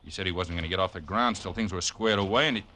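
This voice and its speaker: A man's voice: deep voice, very fast, bright, forceful tone, a little hiss or hum. A man playing a brash middle-aged boxing trainer, talking urgent tough-guy slang in a 1940s radio drama. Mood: confiding. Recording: vintage radio broadcast.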